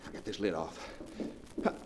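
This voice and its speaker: A man's voice: moderate pace, close to an everyday voice. A greedy, bossy middle-aged husband played by a man in a 1940s radio horror play. He is brusque and dismissive, with theatrical urgency. The old broadcast is noisy and rings with long, hollow reverb. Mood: grim, dismissive. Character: greedy, impatient, domineering husband in a horror tale. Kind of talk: 1940s radio drama acting.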